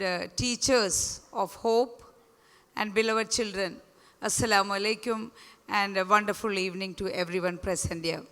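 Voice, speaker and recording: A hoarse woman in her fifties, a school principal, giving an earnest, emphatic speech at a school event and addressing the audience directly. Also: medium pitch, bright, forceful tone. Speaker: school principal, guest speaker. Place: large event hall. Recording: reverberant hall over speakers.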